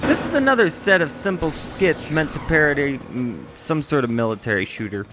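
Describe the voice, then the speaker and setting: Animated male reviewer, light music underneath. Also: a little high, moderate pace. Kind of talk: scripted review narration.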